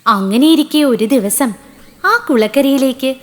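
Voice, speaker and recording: Female storyteller for children's tales: high voice, lively intonation, scripted delivery. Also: measured pace. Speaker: narrator.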